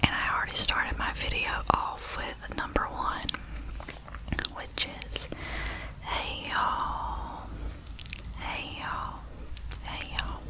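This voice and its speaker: A young Southern woman speaks entirely in a soft, breathy whisper, close to the microphone. She takes her time and lingers on each phrase. She loops single words again and again in an easy drawl. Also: slow, pauses often. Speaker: ASMR creator. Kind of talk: whispered ASMR.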